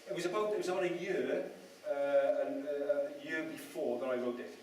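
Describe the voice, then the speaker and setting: A man's voice: on the high side, moderate pace, darkish tone, a little hiss or hum. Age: adult. Sex: man. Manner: chatty, self-mocking speaker. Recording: very echoey room, distant mic. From Wales. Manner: chatty, self-mocking, asks the audience questions. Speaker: speaker at a property event. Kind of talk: motivational talk to an audience.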